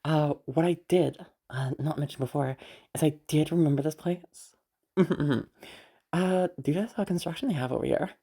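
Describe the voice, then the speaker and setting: A woman acting as a friendly escort in an audio roleplay, with a low, creaky voice. She rambles casually to the listener, sweary and giggly, and is easily flustered. Her tone is soft-edged and mellow. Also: moderate pace. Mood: casual, enthusiastic. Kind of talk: roleplay audio drama, casual improvised-sounding monologue to the listener.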